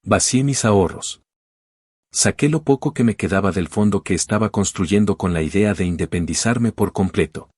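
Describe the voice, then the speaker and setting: Male storyteller, low husky tone, steady read, dry booth sound. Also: normal speed, no echo. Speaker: story narrator. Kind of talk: scripted first-person narration.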